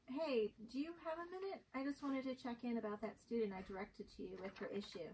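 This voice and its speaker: A woman's voice: a little high, brisk, darkish tone, natural voice. Indignant young-hearted schoolteacher, played by a woman, distant mic. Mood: earnest. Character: indignant, caring female schoolteacher Miss Reel. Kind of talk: amateur dramatic script reading. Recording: distant mic, faint room noise.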